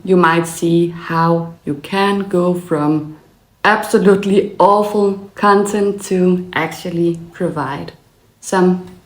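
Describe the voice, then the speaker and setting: A Danish woman with a Danish accent, a small business owner calmly telling the story of her business. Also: medium pitch. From Denmark. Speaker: small business owner and laser file designer. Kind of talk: personal story narration. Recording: echoey room.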